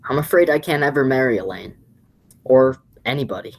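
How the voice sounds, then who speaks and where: A woman playing an excitable, flustered young man in a radio play, with a husky voice and a stammer. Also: extremely low pitch, fairly quick, exaggerated voice. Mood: shaken.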